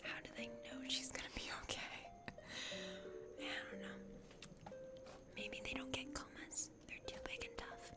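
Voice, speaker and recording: A woman hosting an ASMR let's play, whispering the game's narration in a gentle, playful manner. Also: measured pace, pauses often, loud music behind the voice.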